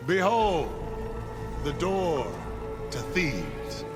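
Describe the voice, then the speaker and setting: An actor playing a fervent, reverent clan official in a video game, with a grand, formal way of proclaiming. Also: male voice, a little high, sing-song, extremely slow, full character voice. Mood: triumphant. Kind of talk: video game cutscene acting. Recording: loud music behind the voice.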